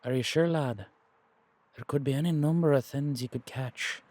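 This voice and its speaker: A man playing a gruff, blunt supernatural Dullahan with an or in an audio roleplay. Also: mid-range voice, moderate pace, a little mellow, lightly acted. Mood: uneasy, frustrated. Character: gruff older male Dullahan with a brogue, calling others lad.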